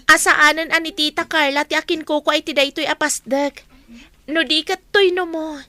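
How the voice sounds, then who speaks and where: A kind young heiress in a Filipino radio drama. Her voice is very high and bright, recorded close and dry, with earnest, emotive delivery. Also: steady tone, moderate pace, no room sound. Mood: firm, gracious. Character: young woman heiress, kind new boss.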